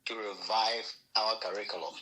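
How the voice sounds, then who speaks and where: A man from Ghana, a guest answering over a remote call line, speaking slowly and deliberately, with stammered repeats. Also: on the low side, flat delivery, sharp tone, rough recording.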